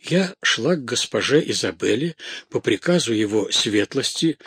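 A man's voice: medium pitch, lively intonation, moderate pace, a bit bright, breathy edge, no echo, clean studio sound, full character voice. A man voicing a hysterical, garrulous young maidservant in a story, frightened and indignant, with breathless, exclamatory, rambling delivery. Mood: flustered rambling.

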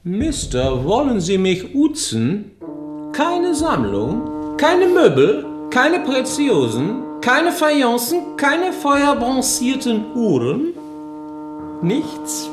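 High-voiced, swooping, affected gentleman. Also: huge pitch swings, very slow, full character voice. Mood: incredulous, indignant. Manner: theatrical, exclaiming, affected. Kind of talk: German radio play acting. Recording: echoey, music behind.

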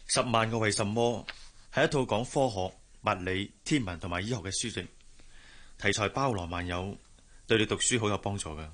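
A man with a Hong Kong Cantonese accent playing a kindly young gentleman employer in a radio drama, gently patronising and brotherly. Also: on the low side, brisk. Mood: kind, encouraging.

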